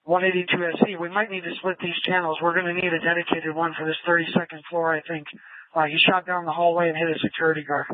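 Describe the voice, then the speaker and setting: A man's voice: fairly high pitch, flat delivery, quick pace, dry recording, narrow, tinny audio. A male police officer giving a report over a police radio, as heard on a scanner. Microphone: two-way police radio.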